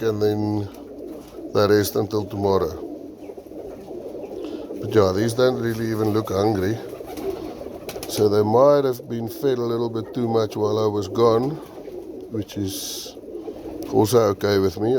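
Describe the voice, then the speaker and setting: A man with a South African accent, a native Afrikaans speaker, explains things off the cuff in a casual vlog while tending birds. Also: lowish voice. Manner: unscripted, explanatory. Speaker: pigeon keeper presenting his own video. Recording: noisy, birds cooing nearby. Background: pigeons cooing, clear background noise.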